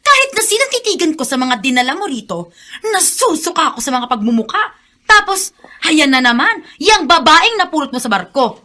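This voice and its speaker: Scornful spoiled rich woman, piercing bright voice, animated, sharp-tongued, radio drama. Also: high voice, brisk, heavily acted. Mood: scornful, threatening. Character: haughty, jealous, scornful rich young woman. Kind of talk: Filipino radio soap acting.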